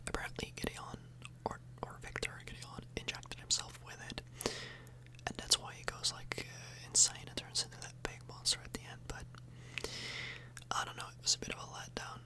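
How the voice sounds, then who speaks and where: A woman speaking in a breathy whisper, rambling casually for an ASMR video, close to the microphone and often tagging on questions. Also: moderate pace, poor audio. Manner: casual, meandering, frequent tag questions.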